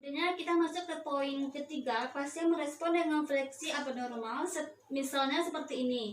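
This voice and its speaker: A young woman gives a step-by-step instructional demonstration as a student, with halting, hesitant delivery. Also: high-pitched. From Indonesia. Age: young adult. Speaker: nursing student giving a practical demonstration. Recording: echoey room, distant mic, faint noise.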